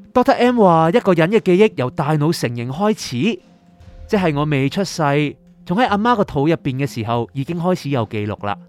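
Male actor, wistful orphaned young man in an audio drama, Hong Kong Cantonese, high-pitched with lively swings. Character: wistful dead young man, abandoned orphan, narrating memories. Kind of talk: radio drama narration and dialogue. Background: faint music.

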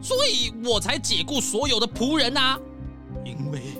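A nervous rich squirrel gentleman, played by a woman, high-pitched and swinging up and down as he frets. He stammers and exclaims with theatrical fright, as in a children's detective story. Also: big pitch swings, measured pace, music in the background. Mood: suspicious. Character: nervous, paranoid rich squirrel tycoon, male.

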